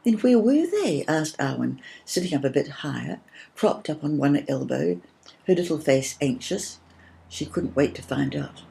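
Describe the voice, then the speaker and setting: A woman's voice: extremely low pitch, moderate pace, creaky, mellow, breathy edge, poor audio. A woman reading a scripted children's story as its narrator. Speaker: story reader.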